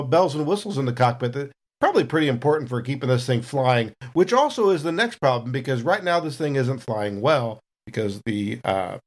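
A man playing a tabletop role-playing game, narrating his character's actions with wry understatement. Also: medium pitch.

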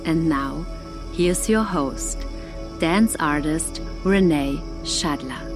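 Casting: slow, deep-voiced female announcer, intro read over a music bed. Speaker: podcast announcer.

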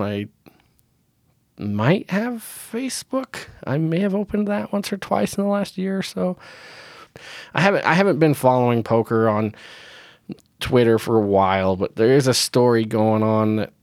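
A man's voice: medium pitch, dry recording, clean studio sound. A man hosting a casual solo podcast, sounding nasal from a cold, blunt and opinionated and laughing often. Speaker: podcast host.

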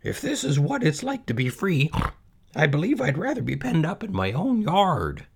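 A man's voice: on the high side, wildly swooping pitch, moderate pace, gravelly, husky, voice pushed up, exaggerated voice. A man voicing a timid, overwhelmed very young pig in a storybook, with a plaintive, fretful manner. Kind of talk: storybook character voice.

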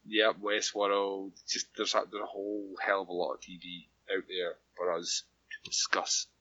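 A slightly hoarse man talks over a home microphone in loose, rambling podcast chat, often trailing off into a soft laugh. The sound is dry and a little dull, as if lo-fi. He speaks at an ordinary middle pitch. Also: monotone, normal speed, no room sound. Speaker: podcast co-host. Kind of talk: casual chat about TV. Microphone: better home microphone.

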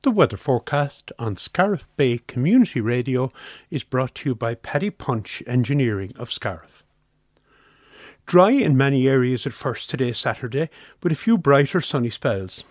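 An Irish man reads a scripted weather forecast for radio. His medium-pitched voice moves up and down in an animated way. The recording is dry and free of room sound. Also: moderate pace, no room sound.

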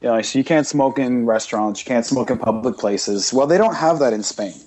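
A man with a mellow voice and middling pitch hosts a podcast. He talks casually but bluntly, airing opinions with emphasis and repeating himself in lists to drive each point home. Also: a little fast. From Britain. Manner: emphatic, repetitive listing, blunt. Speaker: podcast host.

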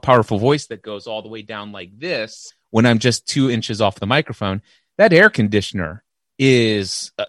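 A man with a loud, powerful voice, a podcast guest who explains his recording gear at length. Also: mid-range voice, no room sound. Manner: enthusiastic, explains gear in detail. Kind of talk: casual podcast conversation. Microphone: close dynamic microphone.

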